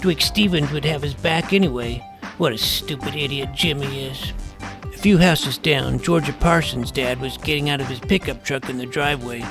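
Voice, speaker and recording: Give this an earnest, self-important boy detective played by a man telling his comic mystery in an audio drama. The delivery is deadpan and precise, with a creaky edge to the voice. Music plays behind it. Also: medium pitch, normal speed, lightly acted. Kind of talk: first-person scripted narration of a comic mystery.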